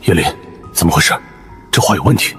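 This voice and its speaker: A man playing a blunt, grumbling tough-guy survivor in an animated drama. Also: fast talker, music in the background, lightly acted. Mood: wary. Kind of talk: animated web drama acting.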